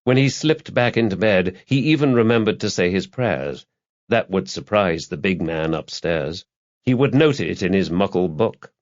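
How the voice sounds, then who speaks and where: A man's voice: sits low, animated, moderate pace, dry recording. A man reading a crime thriller novel aloud as a scripted audiobook narration. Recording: dull, lo-fi, dry audiobook recording.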